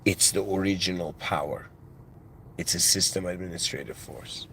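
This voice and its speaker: A man with an accent in English, giving a reflective spiritual monologue as a channel host, halting and musing, with restarts and devout phrases. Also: low voice, fairly bright tone, slight background noise. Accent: non-native English.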